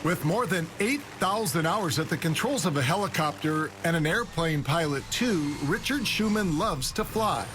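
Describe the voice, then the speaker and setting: A man's voice: on the high side, lively intonation, moderate pace, few pauses, a bit bright, slight background noise. A man reporting a business feature for television in a polished, scripted news delivery.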